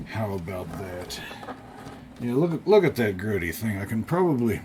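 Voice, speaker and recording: Guy with a lowish voice doing an unscripted show and tell, halting, kinda rambly, noisy background. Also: sing-song, a little mellow. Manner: halting, rambling, thinking aloud. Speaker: hobbyist video presenter restoring vintage electronics. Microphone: off-camera mic while filming the object. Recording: clear background noise.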